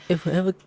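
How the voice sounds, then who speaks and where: A woman hosting a gameplay video with casual, slangy, rambling commentary, reacting as she plays. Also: background noise. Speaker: gaming video host. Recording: game music behind voice.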